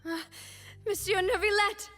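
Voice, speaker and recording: An actress playing a vulnerable, bewildered young noblewoman, halting and emotional, in a video game. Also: very high-pitched, steady tone, a bit bright. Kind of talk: dramatic video game voice acting. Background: music.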